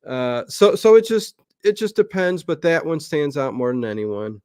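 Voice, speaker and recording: A man's voice: a little high, big pitch swings, normal speed, darkish tone, clear, resonant tone, no echo. A middle-aged man talks off the cuff as a livestream host. His speech rambles and doubles back on itself. The sound is dry, with very little room in it. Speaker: livestream show host being interviewed. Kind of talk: casual livestream chat.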